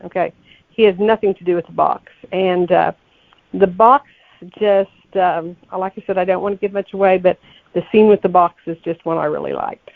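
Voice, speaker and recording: Female interview guest on a thin phone-quality line; gravelly edge, conversational, thinks aloud as she goes. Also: fairly low pitch, steady tone, moderate pace, no echo. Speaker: podcast guest, novelist. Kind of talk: casual interview chat. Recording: tinny, phone-like, narrow-band line.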